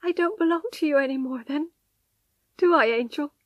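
A woman's voice: high voice, normal speed, fairly bright tone, dry recording, pitched above the performer's own voice. A woman voicing a distraught, devoted young wife in a dramatic story, pleading and tearful. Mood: helpless, submissive. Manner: pleading, breathy whispers rising to anguished cries.

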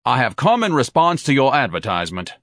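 A man's voice: mid-range voice, very animated, brisk, fairly bright tone, dry recording, studio quality, voice pushed up. A man voicing a huge, pompous, indignant man in an audiobook, with a stentorian, declamatory delivery.

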